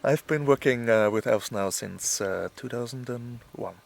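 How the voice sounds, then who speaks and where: A man from Austria, a spiritual coach and musician, answering interview questions calmly with hesitant fillers. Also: mid-range voice, moderate pace, gravelly. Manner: calm, plain-spoken, with hesitant fillers.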